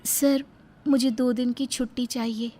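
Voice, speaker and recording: A woman's voice: fairly high pitch, fairly even intonation, unhurried, warm tone. A woman playing a wronged, earnest young daughter-in-law in a dramatised moral story, speaking in a polite, pleading manner. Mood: gentle, polite.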